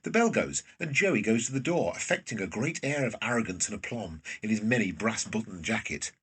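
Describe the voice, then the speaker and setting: A man with a very husky voice reading scripted present-tense narration for a drama, recorded away from the microphone. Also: on the low side, expressive, a little fast, a bit bright, far from the mic.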